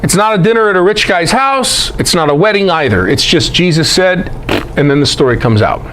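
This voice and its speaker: A man's voice: high-pitched, expressive, brisk, fairly bright tone, slight background noise, poor audio. A man lecturing on scripture in an emphatic, conversational way, with rhetorical questions and folksy asides. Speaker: presenter and Bible teacher. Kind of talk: animated lecture on scripture scholarship.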